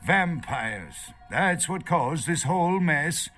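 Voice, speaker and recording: A man's voice: fairly high pitch, expressive, slow, sharp tone, faint music behind, heavily acted. An actor playing a nervous, erudite archaeologist in a video game, lecturing hesitantly as he searches for words. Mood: scholarly, animated. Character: nervous, erudite male foreign archaeologist held captive.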